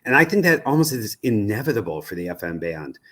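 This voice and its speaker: Low-voiced American man explaining in an interview. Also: sing-song, fast talker, a bit bright. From United States. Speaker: media research executive, interview guest.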